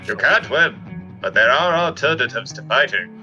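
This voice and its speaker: A man's voice: medium pitch, expressive, normal speed, pushed and bright, highs cut off, faint music behind, pitched above the performer's own voice. A man voicing a wise old mentor knight at an amateur table read. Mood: grave.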